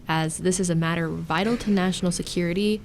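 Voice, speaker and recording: A woman's voice: on the low side, fairly even intonation, brisk, a little mellow, a little hiss or hum, close to an everyday voice. A woman playing a businesslike defense lawyer, formal and precise, in an audio drama.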